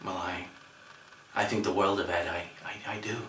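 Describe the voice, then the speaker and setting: A man performing a stage monologue as a gentle, dreamy, friendly bar-goer who speaks haltingly and rambles. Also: low voice, flat delivery, a little hiss or hum. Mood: friendly, rambling. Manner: hesitant, rambling, halting with repeated words. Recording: echoey room, distant microphone.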